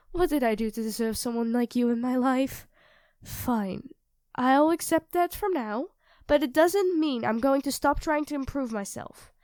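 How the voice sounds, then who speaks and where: A woman's voice: sits high, a little slow, clean tone, dry recording, studio quality. A woman playing a sweet, self-doubting young girlfriend in an intimate ASMR roleplay, speaking hesitantly and earnestly to her partner. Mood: touched, self-doubting. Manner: hesitant, soft-spoken, earnest, addressing a partner directly.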